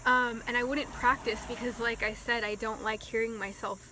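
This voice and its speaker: A young woman telling a casual storytime vlog, talking slowly and softly with many ums and likes. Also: on the high side, fairly even intonation, a little hiss or hum, rough recording. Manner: slow, soft-spoken with many ums and likes. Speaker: vlogger.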